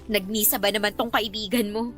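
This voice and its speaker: A woman's voice: medium pitch, fairly even intonation, fairly quick, natural voice. A woman playing a feisty, playful young woman bantering sassily in a radio drama. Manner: sassy, bantering. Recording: music bed behind the voice.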